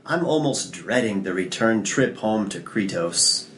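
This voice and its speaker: A man's voice: lowish voice, lively intonation, unhurried, fairly bright tone. An actor playing an arrogant, lustful imperial nobleman with a pompous, condescending manner at a script table read. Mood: languid, scornful. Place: small indoor room at a table.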